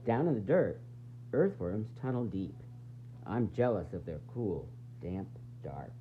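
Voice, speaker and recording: A man reading a children's picture book aloud in an expressive, dramatic, sing-song way, with varied emphasis. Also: fairly low pitch, unhurried. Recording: dull lo-fi sound, faint noise and hum.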